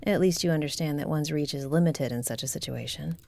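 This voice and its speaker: Woman as a low, mellow, proud noblewoman commander. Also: brisk, gravelly, no room sound. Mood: grave. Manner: formal, haughty. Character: proud, guarded noblewoman military commander, conscience-stricken. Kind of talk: fantasy audio roleplay acting.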